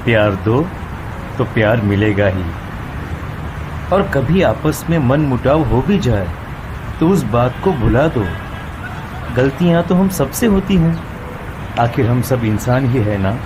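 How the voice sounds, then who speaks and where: A man playing a wise, benevolent older temple priest in an animated moral story, fatherly and moralising. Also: mid-range voice, slow, pauses often. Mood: wise, consoling. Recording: clear background noise, outdoor ambience.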